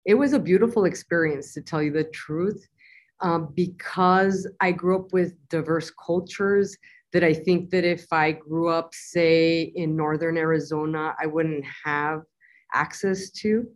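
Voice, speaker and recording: Low-voiced woman from the US-Mexico border. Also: fairly even intonation. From US-Mexico border, Nogales. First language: bilingual Spanish and English. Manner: earnest, measured, with frequent fillers. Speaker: guest, community-engaged scientist. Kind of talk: interview answers.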